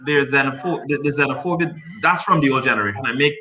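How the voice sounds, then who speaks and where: A man's voice: medium pitch, fast talker, lo-fi sound, crowd noise. Chatty Guyanese man on a panel. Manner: chatty, joking, frequent restarts. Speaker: guest journalist. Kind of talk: casual panel discussion.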